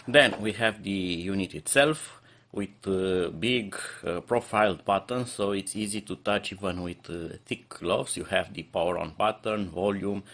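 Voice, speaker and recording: A man's voice: low-pitched, unhurried, fairly bright tone. A man giving an unscripted product review, explaining features step by step with hesitant, slightly non-native phrasing.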